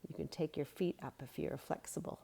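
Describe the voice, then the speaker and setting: A woman reading a storybook aloud as a children's yoga teacher, giving simple, gentle guiding instructions. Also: sits low, fairly quick, creaky, breathy edge.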